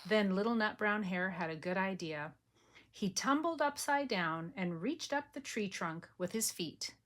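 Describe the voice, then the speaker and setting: Slow, gentle grandmother reading. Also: medium pitch, expressive. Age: older. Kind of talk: bedtime storybook reading.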